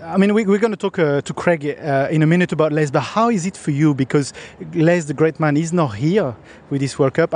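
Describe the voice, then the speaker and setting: A man with a French accent hosting a podcast, asking quick chatty questions. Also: a little high, darkish tone, slightly rough. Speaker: podcast host. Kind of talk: casual interview chat.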